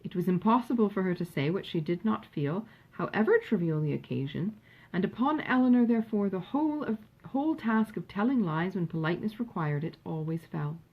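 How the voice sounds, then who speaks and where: A woman narrating a classic novel in an expressive, measured storytelling manner, with a slightly husky voice. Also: medium pitch, lo-fi sound. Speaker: audiobook narrator.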